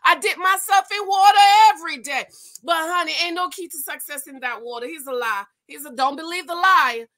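A woman with a very high, forceful voice gives informal reaction commentary. She is animated and exclamatory, dragging out key words and repeating phrases for emphasis. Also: fairly quick. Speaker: host of a commentary channel. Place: small room at home, indoors.

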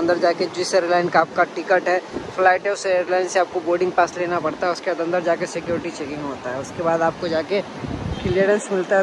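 A young man from Bihar gives casual vlog commentary to camera, often repeating phrases as he explains. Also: high voice. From Bihar, India. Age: young adult. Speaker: vlogger. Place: outdoors at busy airport forecourts and streets. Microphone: phone at arm's length. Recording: loud outdoor traffic and city noise.

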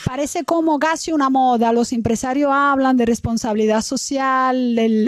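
A woman's voice: sits high, dry recording, clean studio sound. Italian woman with a Cuban Spanish accent. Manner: earnest, with hesitant restarts. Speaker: business representative speaking at a forum. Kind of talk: prepared forum speech. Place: large parliamentary meeting hall. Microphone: desk microphone on a stand.

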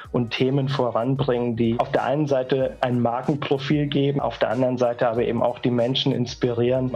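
A man in his forties explaining things in a deliberate, corporate manner as an executive on a video call. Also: mid-range voice, darkish tone, slightly rough. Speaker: company executive. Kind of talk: measured explanatory discussion. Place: indoor room on a video call. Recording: dull video-call audio.

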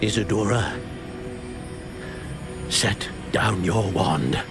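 Grave, low-voiced wizard professor. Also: male voice. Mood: stern, alarmed. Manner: measured, solemn, formal. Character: grave older male wizard professor, stern and solemn. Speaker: video game voice actor. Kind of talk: dramatic game cutscene acting. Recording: music behind.

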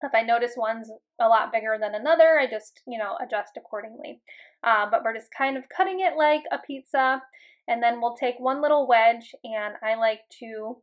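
A woman in her thirties with a somewhat high voice, talking through her home cooking in a relaxed, chatty way. Her speech is loose and unhurried, often broken by ums and uhs. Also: dull audio. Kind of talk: casual voice-over narration. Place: home kitchen voice-over.

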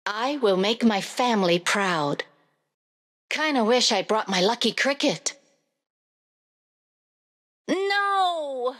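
An earnest, determined young warrior woman for an animated racing game. Her voice sits fairly high and swings in a lively way through short, spirited lines. A long reverb tail hangs on each phrase. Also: slow, pauses often, full character voice. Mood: excited, exclaiming. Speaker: video game character voice.

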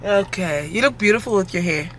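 A woman's voice: mid-range voice, brisk, a bit bright, a little hiss or hum. A woman, chatting casually to camera as a mom vlogger and correcting herself as she rambles. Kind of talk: casual vlog chat to camera. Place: at home.

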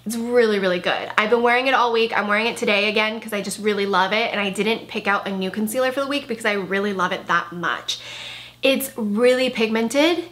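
A woman's voice: medium pitch, fairly quick, a bit bright. A woman in her thirties chatting through a casual makeup product review in her bedroom, her voice a bit worn because she is losing it. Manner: chatty, enthusiastic, with frequent "like" and "really". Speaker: beauty vlogger. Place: bedroom with clothing rack, indoors.

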